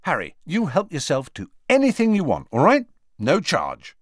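A man's voice: on the high side, huge pitch swings, moderate pace, dry recording, clean studio sound, pitched above the performer's own voice. A man voicing an enthusiastic, boastful young male prankster shopkeeper in an audiobook, talking eagerly. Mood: breezy.

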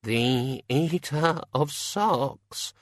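A man voicing an anxious senior wizard academic with a slow, hesitant, plaintive manner in a storybook. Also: medium pitch, big pitch swings, fairly bright tone, no room sound, studio quality, heavily acted. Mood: fearful moaning.

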